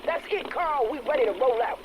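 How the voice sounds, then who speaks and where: An actor playing a blunt, world-weary biker friend, pleading and resigned, in a game audio drama. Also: male voice, very high voice, animated, fairly quick, highs cut off, background noise. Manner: blunt, pleading, rough-edged. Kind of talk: game radio drama dialogue.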